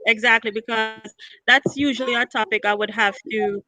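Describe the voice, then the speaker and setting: A woman's voice: fairly high pitch, steady tone, moderate pace, pushed and bright, poor audio. A woman with a Jamaican accent who repeats phrases and mixes patois into easygoing talk, speaking as a guest on a video call. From born in New York, raised partly in Jamaica. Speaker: recording artist guest. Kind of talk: casual online chat.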